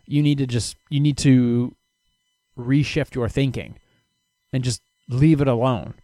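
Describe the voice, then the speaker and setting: A man riffs through listener questions on his own podcast, blunt and animated, with plenty of cursing and false starts. His voice sits at an ordinary middle pitch. The sound is dry and close, with no room echo. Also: measured pace. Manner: blunt, animated and profane, with many restarts. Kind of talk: casual solo podcast advice.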